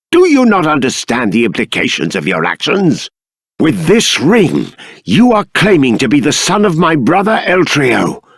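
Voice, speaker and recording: A man's voice: lowish voice, gravelly, dry recording, clean studio sound, exaggerated voice. An actor playing a stern, dignified middle-aged king in a video game, with formal, regal, emphatic diction. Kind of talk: dramatic game character dialogue.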